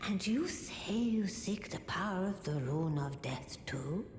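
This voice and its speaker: In a reverberant hall over loud rumble, an ancient oracle crone speaks in a low, breathy, aged voice. She is cryptic and portentous, drawing out each phrase very slowly, as in a dark fantasy game. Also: animated. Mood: shocked, forbidding. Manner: slow, portentous, musing. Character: ancient blind crone, oracle serving the fingers, cryptic and grave. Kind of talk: theatrical fantasy game acting. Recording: echoey hall, loud rumbling background noise.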